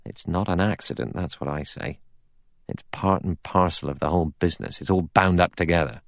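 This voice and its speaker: A man's voice: low voice, a little fast, gravelly, no echo, studio quality. A man voicing a blunt, aggressive, suspicious detective in an audiobook, arguing in pushy, colloquial bursts. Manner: pushy, colloquial, emphatic argument. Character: blunt, aggressive middle-aged male detective, suspicious and cocksure.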